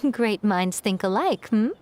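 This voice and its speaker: A woman's voice: mid-range voice, darkish tone, slight background noise. A woman playing an elegant, self-assured priestess in a video game, with a poised, knowing and playful manner. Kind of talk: scripted video game dialogue.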